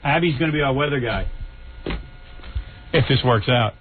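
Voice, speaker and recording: A man's voice: medium pitch, slight background noise. A man hosting a morning radio show, teasing and joking with callers. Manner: fast, teasing, joking talk. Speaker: radio host. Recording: tinny phone-like radio broadcast.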